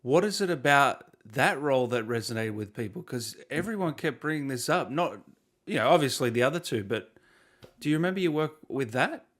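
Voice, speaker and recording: A man's voice: medium pitch, expressive, brisk, fairly bright tone. A man hosting a casual interview in an enthusiastic, informal, slangy way. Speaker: interviewer, host. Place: remote video call.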